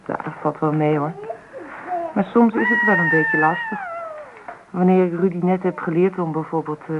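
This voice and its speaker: Lively young mum on tinny radio. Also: female voice, fairly low pitch, normal speed. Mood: resigned, chatty. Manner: chatty, mildly scolding. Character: fond but exasperated young mother of a toddler. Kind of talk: Dutch radio play acting. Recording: tinny, slightly noisy old radio recording.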